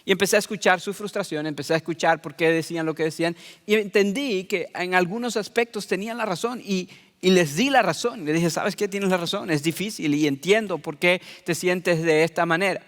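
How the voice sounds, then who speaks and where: A young pastor with a light Venezuelan Spanish accent delivers his sermon with earnest conviction, often repeating a phrase for weight. His voice sits high for a man. The sound carries a long, ringing reverb from the church hall. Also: fairly quick, fairly bright tone.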